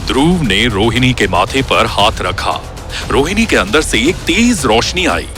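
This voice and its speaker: A man narrating a scripted horror story in a dramatic, suspenseful and expressive storytelling style. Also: mid-range voice, a little slow. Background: background music.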